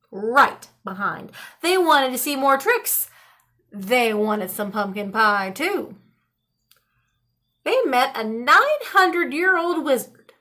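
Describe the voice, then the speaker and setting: A woman narrates a children's picture book. Her voice is high and very expressive, rising and falling widely, and she takes the story slowly. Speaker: storybook reader. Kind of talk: animated children's read-aloud narration.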